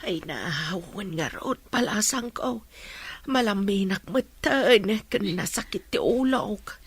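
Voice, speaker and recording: A woman's voice: mid-range voice, lively intonation, slow, husky, no echo, exaggerated voice. A woman playing a doting older village mother in a radio drama, with a motherly way of talking. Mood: ailing.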